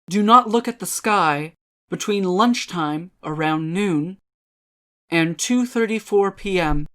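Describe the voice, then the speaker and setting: A woman giving a scripted spooky dramatic reading, ominous and deliberate, with measured dramatic pauses. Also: lowish voice, a bit bright. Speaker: dramatic reader.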